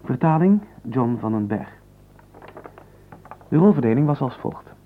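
A man announces in a formal, deliberate manner, reading from a script. His voice sits at a medium pitch, heard through a tinny, narrow old radio recording. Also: lively intonation, slight background noise. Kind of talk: formal scripted closing credits.

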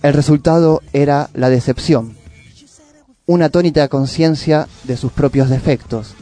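A man's voice: on the high side, moderate pace, mellow, soft background music. A man with a Rioplatense Argentine accent presenting a radio literary segment, reading poetic prose and monologue in a reflective way.

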